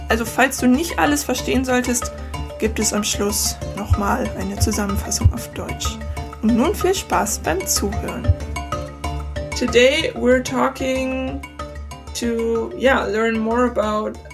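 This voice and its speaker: A woman's voice: fairly high pitch, measured pace, music in the background. A woman who is a native German speaker, speaking as a podcast host. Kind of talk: scripted podcast introduction, then casual interview.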